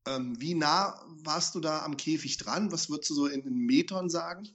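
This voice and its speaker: A man's voice: a little high, normal speed, a bit bright, highs cut off. A man with a stuffy, congested voice co-hosting a martial arts podcast, joking and enthusiastic in casual chat. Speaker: podcast co-host.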